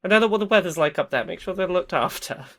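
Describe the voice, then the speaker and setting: A woman playing in a tabletop game who speaks in a relaxed, casual way. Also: fairly low pitch, quick pace. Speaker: player.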